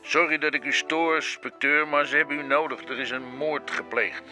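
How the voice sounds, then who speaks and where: A man's voice: fairly high pitch, steady tone, normal speed, lightly acted. A man playing a polite, apologetic police subordinate in a radio drama, speaking hesitantly. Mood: apologetic, urgent. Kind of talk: Dutch radio drama acting. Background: music.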